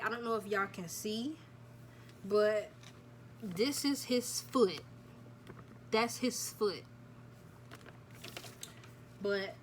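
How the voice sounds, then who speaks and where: A woman's voice: mid-range voice, slight background noise. A nineteen-year-old woman, chatting casually to camera and repeating herself, telling viewers "y'all" about her news. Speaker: vlogger. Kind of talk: casual storytime chat to camera.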